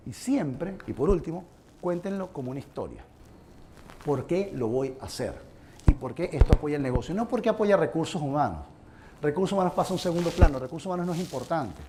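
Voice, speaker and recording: A man from Venezuela presenting a seminar talk to a live audience, speaking earnestly and addressing them directly with advice. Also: a little high. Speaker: conference presenter. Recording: noisy room recording.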